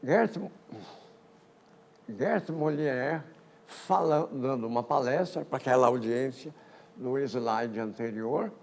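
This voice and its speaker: An older man, giving a physics colloquium talk on history in a lecture hall, halting often with repeated words and false starts. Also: medium pitch, creaky, darkish tone. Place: lecture auditorium.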